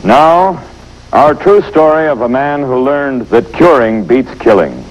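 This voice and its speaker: A male announcer in the formal style of fifties television. He reads scripted introductions at a slow, measured pace, in a medium-pitched voice. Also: lively intonation, poor audio. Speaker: program announcer. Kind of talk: 1950s scripted television narration.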